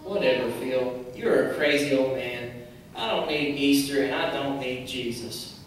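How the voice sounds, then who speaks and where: A man voicing a sullen, dismissive boy puppet in a scornful, low-energy mumble for a church puppet show. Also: unhurried, close to an everyday voice. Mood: scornful, muttering. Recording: very echoey hall, distant mic, noisy.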